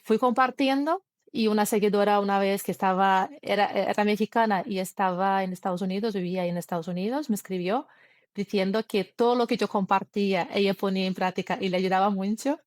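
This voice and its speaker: Woman, earnest, halting, lots of restarts, mid pitch, dry close sound. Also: fairly even intonation, no echo. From Brazil. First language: Portuguese. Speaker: guest. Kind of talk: conversational interview, personal story.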